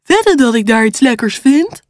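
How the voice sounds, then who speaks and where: A woman's voice: sits high, sing-song, normal speed, dry recording, clean studio sound. A woman voicing a plucky, playful little female pug dog in a children's storybook, with a frank manner. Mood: cheerful.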